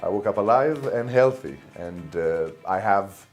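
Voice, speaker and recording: A man in his thirties with an Italian accent, a business guest talking in an interview, animated but hesitant, with many fillers and restarts. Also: lowish voice, unhurried, soft background music. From Italy. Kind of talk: conversational interview. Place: studio set at a business club.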